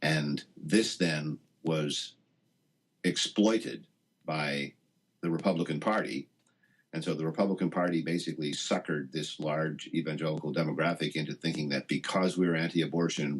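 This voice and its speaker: A man, a professor being interviewed, explaining things conversationally and haltingly as he thinks aloud. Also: rumbling low, husky. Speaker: interview guest, professor of science and religion.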